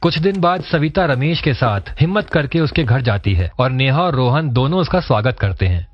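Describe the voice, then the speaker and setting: A man's voice: medium pitch, lively intonation, moderate pace, dull audio. A man narrating a scripted cartoon story as its storyteller.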